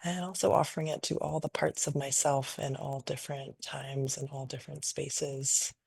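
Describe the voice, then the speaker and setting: A woman leading a guided meditation in a gentle, unhurried, invitational way, improvising softly and leaving long pauses. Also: rumbling low, level tone, creaky, husky, no room sound. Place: online video call session.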